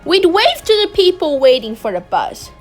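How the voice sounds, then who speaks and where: A woman reading a picture book aloud in a gentle, expressive way. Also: extremely high pitch, moderate pace, soft background music. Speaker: storybook reader.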